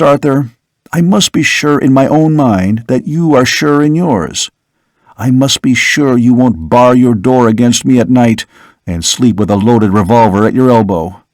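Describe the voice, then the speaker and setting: A tired, brooding older father who speaks in a soft, grave tone, as a male narrator puts him on. His voice is of middling pitch for a man. The storybook reading is recorded close and dry. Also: expressive, measured pace, no room sound. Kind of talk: audiobook character reading.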